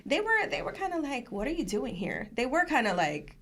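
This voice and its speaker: A woman's voice: high voice, brisk. A woman guest on a podcast, chatting casually, telling stories with lots of laughter and acting out what other people said. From Puerto Rican family, from New Jersey. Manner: animated storyteller, laughs often, acts out quotes. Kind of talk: casual interview chat.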